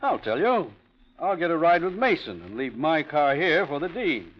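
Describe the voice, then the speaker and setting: An actor playing an affable, accommodating college doctor in 1940s radio drama. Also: male voice, a little high, very animated, moderate pace. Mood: easygoing, obliging. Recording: muffled old radio recording.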